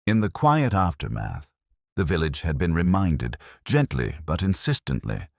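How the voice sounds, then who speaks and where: A man narrating a literary mystery story in a measured, formal storytelling manner. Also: very deep voice, creaky, no echo, clean studio sound. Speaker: audiobook narrator.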